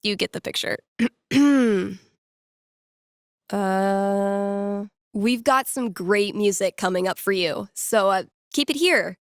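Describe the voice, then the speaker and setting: An actress playing a sardonic young woman who works as a radio DJ in a video game, with casual patter, deadpan asides and playful mock grandeur. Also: mid-range voice, slow pace, no room sound, clean studio sound. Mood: upbeat, playful. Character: wry young woman radio DJ and record store clerk.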